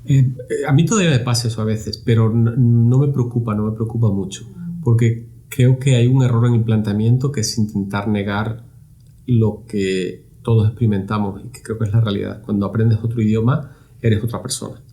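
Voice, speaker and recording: A man's voice: fairly low pitch, steady tone, normal speed, mellow. A middle-aged man from Spain, a guest answering interview questions in a reflective way, often repeating words as he searches for phrasing. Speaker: university lab director, guest.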